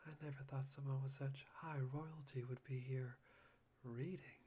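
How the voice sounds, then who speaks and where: A performer playing a polite but unsettling young male student, breathy and softly teasing, in an ASMR roleplay. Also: mid-range voice, fairly even intonation, moderate pace, close to an everyday voice. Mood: sly, teasing. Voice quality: breathy, husky.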